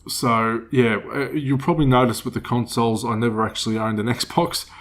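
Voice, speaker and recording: A man in his thirties with a cold that makes him a bit nasal, hosting a casual solo podcast in a rambling, relaxed way. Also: mid-range voice, a little fast. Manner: rambling, relaxed, with many fillers. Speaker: podcast host.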